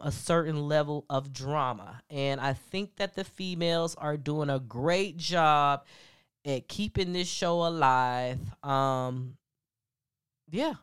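Deep-voiced woman with a stuffed-up nose. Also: smooth. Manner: chatty and frank, many ums and asides to listeners. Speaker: podcast host. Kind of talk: casual celebrity gossip commentary.

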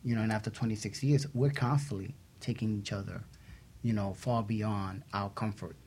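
A man's voice: lowish voice, fairly even intonation, moderate pace, darkish tone, breathy edge. A man speaking earnestly as a panellist in a casual talk show.

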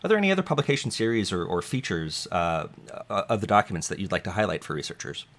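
A man's voice: sits low, expressive, quick pace, fairly bright tone. A man interviewing an archive director, speaking with many fillers and restarts. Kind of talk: interview conversation.

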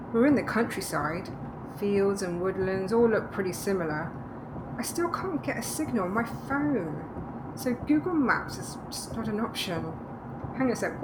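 A woman's voice: mid-range voice, big pitch swings, normal speed, close to an everyday voice. A woman playing a practical, dryly teasing wife in a naturalistic audio drama. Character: practical, teasing, reassuring wife moving to the countryside. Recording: clearly noisy background ambience.

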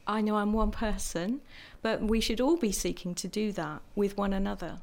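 Earnest woman speaking with measured pauses. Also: mid-range voice, fairly even intonation, vocal fry, a little mellow. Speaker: church speaker who prophesies. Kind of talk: church panel conversation.

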